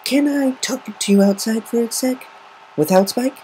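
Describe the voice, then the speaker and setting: A man's voice: extremely high pitch, normal speed, dark tone, pitched above the performer's own voice. A man voicing an uneasy, blunt young female pegasus in a story reading, speaking hesitantly. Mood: nervous. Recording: noisy amateur home recording.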